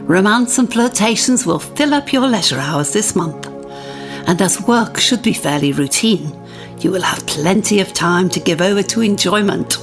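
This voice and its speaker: An actress playing a local astrologer, giving a measured, scripted horoscope reading. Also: female voice, mid-range voice, lively intonation, music in the background, close to an everyday voice. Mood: measured, knowing.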